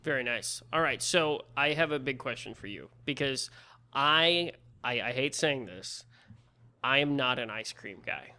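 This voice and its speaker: A man's voice: medium pitch, animated, measured pace, bright, forceful tone. A male podcast host with a Midwestern American accent, chatting casually and joking at his own expense while interviewing a guest. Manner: chatty, joking, self-deprecating.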